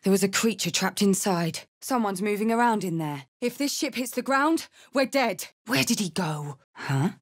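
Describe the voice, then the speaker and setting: A woman's voice: medium pitch, expressive, normal speed, few pauses, lightly acted. An actress voicing a brash, hot-headed woman warrior with a blunt, cheeky manner in a fantasy video game. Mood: wry, focused. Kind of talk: fantasy video game character lines and spell calls.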